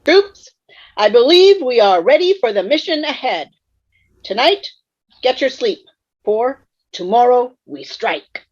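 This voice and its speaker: A woman's voice: fairly high pitch, big pitch swings, a little slow, long pauses, darkish tone. A woman playing a pompous, formal male ghost commander in an online table read of a sitcom script. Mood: commanding. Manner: formal, pompous, archaic phrasing. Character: pompous male ghost, old-fashioned military commander rallying troops. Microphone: video call. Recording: dull, roomy video-call sound.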